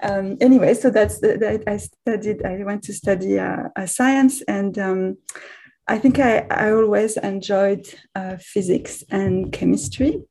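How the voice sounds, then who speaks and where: A middle-aged woman, whose voice has a gravelly, creaky edge. Her tone is mellow and dark rather than bright. She speaks haltingly, restarting and correcting herself often as she answers interview questions. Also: medium pitch. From France. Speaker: guest physics professor. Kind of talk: casual podcast interview.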